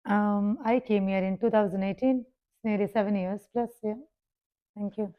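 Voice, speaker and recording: A woman's voice: mid-range voice, steady tone, moderate pace. A woman from India speaking briefly and plainly as a podcast guest. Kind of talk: casual panel discussion. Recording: dull lo-fi sound.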